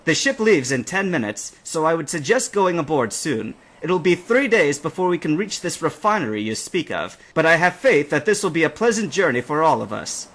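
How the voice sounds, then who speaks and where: A man's voice: a little high, big pitch swings, normal speed, hardly any pauses, a bit bright, pitched above the performer's own voice, full character voice. A man doing an eager Irish ship captain. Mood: polite.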